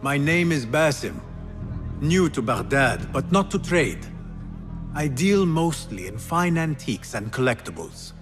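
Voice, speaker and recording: An actor playing a determined young thief turned assassin, measured, courteous and guarded. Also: male voice, a little high, lively intonation, music in the background. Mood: polite, guarded. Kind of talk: restrained dramatic game dialogue. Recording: loud score behind.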